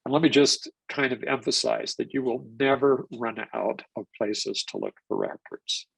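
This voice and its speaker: A man's voice: medium pitch, moderate pace, creaky, breathy edge, no room sound, low-quality recording. An older man presenting a genealogy webinar, stuffed up from a cold, rambling with restarts and fillers. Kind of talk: live webinar lecture.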